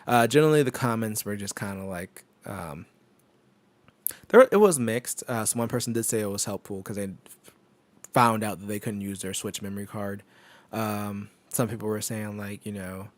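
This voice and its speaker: A man with a low voice chatting casually on a home live stream, rambling with many fillers and frequent laughs, into a microphone on an arm. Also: moderate pace, no echo. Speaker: tech streamer. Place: home desk setup. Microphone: microphone on an arm through an audio interface.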